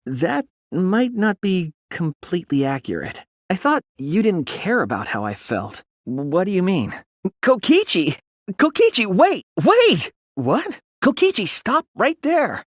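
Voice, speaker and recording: A man voicing an earnest, timid yet resolute teenage boy detective in an English anime game dub, polite and soft-spoken. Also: high-pitched, huge pitch swings, a little slow, no echo, studio quality, full character voice. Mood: flustered, hesitant, then alarmed, flustered. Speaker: video game voice actor.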